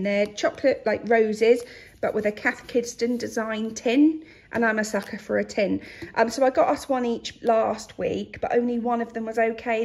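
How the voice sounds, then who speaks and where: A woman, casually chatting through her grocery haul in her kitchen. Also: a little high. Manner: chatty, relaxed, with frequent ums. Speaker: vlogger showing a grocery haul. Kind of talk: casual chat to camera. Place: kitchen, indoors.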